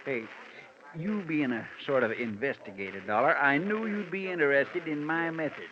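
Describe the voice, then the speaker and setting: An actor playing an eager, self-important small-town sheriff in 1940s radio drama style. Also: male voice, on the high side, moderate pace, sharp tone. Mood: boastful. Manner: eager boastful rambling. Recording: noisy lo-fi old radio broadcast.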